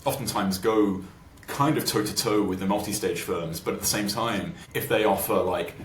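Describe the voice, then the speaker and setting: Man, low pitch, echoey room, informal explanatory talk, colloquial, interview guest. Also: moderate pace. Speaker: venture capital investor, podcast guest. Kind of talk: conversational interview answers. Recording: roomy echo with clear background noise.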